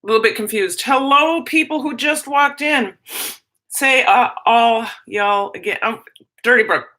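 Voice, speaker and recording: A woman's voice: on the high side, measured pace, pushed and bright. A woman with a Minnesota accent, chatting casually on a livestream, answering viewers in a rambling, self-interrupting way. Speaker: teacher and livestream host. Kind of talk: casual livestream chat, reading viewer comments.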